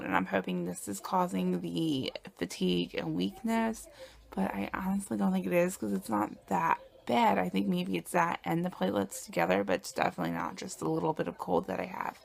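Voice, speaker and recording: Hushed, gravelly young woman vlogging. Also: on the low side. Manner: soft, often hushed and breathy, with sighs. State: congested with a cold. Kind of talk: personal video diary.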